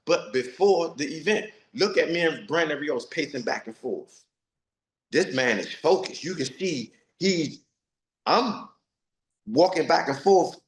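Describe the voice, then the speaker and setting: A man's voice: medium pitch, fairly bright tone, reverberant. A man of forty, a retired boxer, giving blunt, streetwise interview answers and repeating himself for emphasis. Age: 40s.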